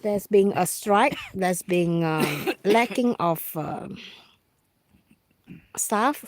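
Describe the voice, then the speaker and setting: A woman from Singapore with a Singaporean accent, chatting casually on a live stream while fishing outdoors, halting with fillers and restarts. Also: mid-range voice, expressive, a crowd in the background. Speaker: vlogger.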